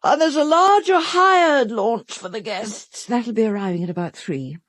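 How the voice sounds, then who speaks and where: A woman's voice: fairly high pitch, lively intonation, normal speed, fairly bright tone, no room sound. An actress playing a grand, commanding British married woman of the theatre in an audio drama. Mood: brisk, assured. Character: imperious, theatrical married actress who fears for her safety.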